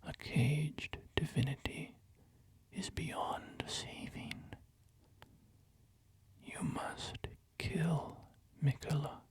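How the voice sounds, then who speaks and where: A man in a slow whisper, voicing a gentle saint spirit who gives solemn commands. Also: on the high side, steady tone, pitched above the performer's own voice. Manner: hushed whisper.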